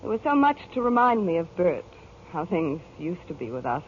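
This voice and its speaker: An actress playing a devoted, long-suffering mother in a 1940s radio noir drama, speaking earnestly and sometimes haltingly. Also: fairly low pitch, big pitch swings. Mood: weary, reflective. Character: hardworking middle-aged mother, devoted, long-suffering, recounting her troubles. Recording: muffled, noisy 1940s radio broadcast.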